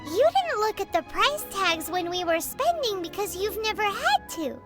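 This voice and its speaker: A little childlike fairy sidekick from a video game. The voice is piercingly high and squeaky, chirpy and blunt, with animated swings in pitch. Music plays loudly behind it. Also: female voice, normal speed. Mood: cheeky realization. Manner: chirpy, blunt, speaks of herself in third person. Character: tiny, cheeky, childlike female fairy companion. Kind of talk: scripted game cutscene voice acting. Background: prominent game music.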